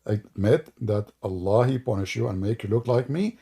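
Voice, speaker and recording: Arabic-accented guy on a live stream, mellow husky voice, joking and mocking. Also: fairly low pitch, moderate pace. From Middle East. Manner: sarcastic, teasing, jokey.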